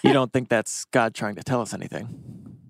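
A man's voice: medium pitch, moderate pace, vocal fry, no room sound, clean studio sound, lightly acted. A man reading the part of a neurotic, wisecracking, lovesick teenage boy in a TV drama table read. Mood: anxious, wry.

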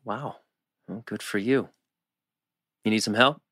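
A man playing an earnest, laconic, protective teenage boy in a drama table read, understated and halting. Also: lowish voice, sharp tone, breathy edge, no echo, studio quality, exaggerated voice. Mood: awkward.

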